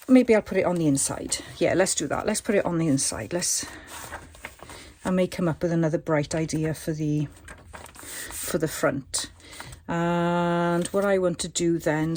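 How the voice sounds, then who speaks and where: A woman hosting a crafting tutorial, chatting and thinking aloud as she works, her voice a little croaky today. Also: on the low side, darkish tone, slight background noise. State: slightly croaky voice. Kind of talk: casual craft demonstration.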